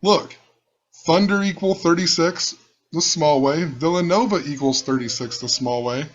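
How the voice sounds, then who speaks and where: Man, rough voice, somewhat high, rambling musing delivery, dull lo-fi sound. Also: moderate pace. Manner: musing, rambling, repeats himself. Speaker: channel host. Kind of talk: casual screen-share commentary.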